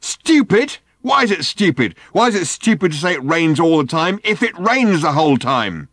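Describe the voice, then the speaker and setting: An audiobook lorry driver, acted out by a man, working-class and full of grievance. He pitches high and hard, hammering each point with dark, indignant insistence. The sound is dry and close. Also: a little fast, no echo, natural voice. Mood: indignant, raving. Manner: emphatic, indignant, darkly insistent. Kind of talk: audiobook character voice.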